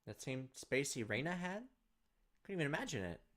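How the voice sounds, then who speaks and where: A man's voice: medium pitch, sing-song, fairly quick, fairly bright tone, pitched above the performer's own voice. A man voicing a shaken young man in a visual novel who questions in disbelief and defends his friends emotionally. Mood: bewildered disbelief.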